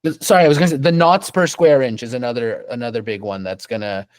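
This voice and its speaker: A man's voice: mid-range voice, fast talker, no room sound. A young man from Canada, a rug dealer, answering interview questions casually at his shop desk, often repeating and restarting words.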